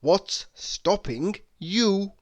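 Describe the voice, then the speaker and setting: A reader delivers tongue-in-cheek motivational quotes at an extremely slow pace. The delivery is dramatic and deliberate, with lively swings in pitch and clear pauses between phrases. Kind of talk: reading humorous motivational quotes aloud.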